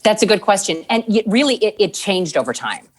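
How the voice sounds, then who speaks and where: A woman's voice: medium pitch, a little fast. A woman in her forties, a TV news anchor, talking casually in an interview and acting out remembered exchanges as she tells her story. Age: 40s. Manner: lively storytelling with acted-out quotes, frequent laughs. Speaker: TV news anchor, podcast guest.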